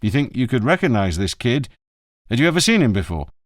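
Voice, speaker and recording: A man voicing a blunt, low-voiced police inspector. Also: big pitch swings, brisk, no echo, studio quality, close to an everyday voice. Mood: curt. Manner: curt, blunt. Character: terse male police inspector, dry and blunt. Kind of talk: audiobook character voice.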